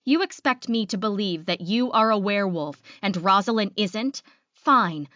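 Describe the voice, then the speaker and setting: A woman voicing an angry, proud female werewolf leader, sharp and confrontational, in an audiobook. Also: mid-range voice, normal speed, dry recording, lo-fi sound, close to an everyday voice. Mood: scornful.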